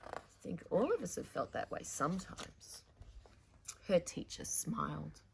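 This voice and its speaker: A woman's voice: lowish voice, very animated, a little slow, creaky, a little hiss or hum, rough recording. A woman presenting a children's storytime, reading a picture book theatrically with dramatic emphasis and chatty asides to young listeners.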